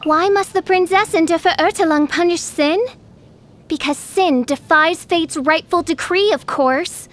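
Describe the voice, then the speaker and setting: High-voiced, pompous teenage princess. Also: female voice, unhurried. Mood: grandiose, fateful. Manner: pompous, archaic, dramatic royal speech. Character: grandiose theatrical teenage girl, self-styled princess. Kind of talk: video game character voice lines.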